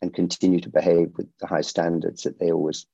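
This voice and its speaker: A middle-aged man who grew up in India, a security company founder answering interview questions in a measured way with frequent restarts. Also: sits low, flat delivery, vocal fry, dark tone, breathy edge, dry recording, dull audio.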